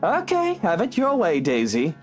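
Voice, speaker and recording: A man's voice: high voice, wildly swooping pitch, normal speed, music in the background. An actor voicing a prickly, awkward, blustering man in a video game, easily flustered. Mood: indignant, alarmed. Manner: blustering, stammering.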